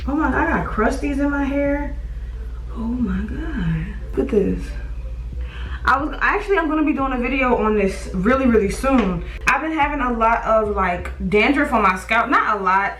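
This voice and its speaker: A woman's voice: fairly high pitch, lively intonation, moderate pace, a bit bright, a little hiss or hum. Chatty woman with a stutter. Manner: chatty, repeats words, mild stutter. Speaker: beauty vlogger. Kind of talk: casual chat to camera. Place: small warm beauty room at home. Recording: roomy, slightly distant.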